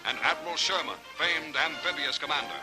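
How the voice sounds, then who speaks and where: A man's voice: fairly high pitch, brisk, low-quality recording. A man with an American accent narrating a 1940s newsreel in a dramatic, formal announcer style. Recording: vintage newsreel soundtrack with loud music. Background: music.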